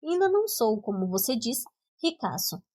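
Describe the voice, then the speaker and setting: A Brazilian woman reading the part of a gentle, teasing young male student in an audiobook, with friendly, playful banter. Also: a little high, very animated, normal speed. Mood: wry, self-deprecating.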